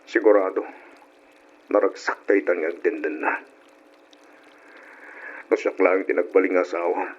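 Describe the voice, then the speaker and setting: A man's voice: low-pitched, steady tone, unhurried, pauses often, slightly rough, lo-fi sound. An Ilocano man acting an ardent, regretful young lover in an old-style radio drama. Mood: earnest. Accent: Filipino, Ilocano.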